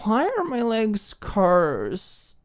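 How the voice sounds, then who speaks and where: A woman voicing a drunk, bratty young college woman in an audiobook, slurring her words with giggly, whiny, drawn-out teasing. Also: medium pitch, huge pitch swings, pitched above the performer's own voice, heavily acted. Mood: whiny, self-pitying. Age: young adult. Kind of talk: audiobook character acting.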